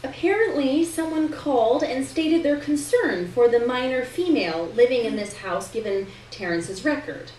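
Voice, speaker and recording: A woman's voice: high voice, expressive, normal speed, few pauses, a little mellow, voice pushed up. Woman reading as cajoling mother, roomy distant recording. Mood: nervous, hesitant. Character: mother in her forties, cajoling, giggly, uneasy around her daughter. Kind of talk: literary story reading, character dialogue. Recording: roomy distant live recording, noticeable hiss.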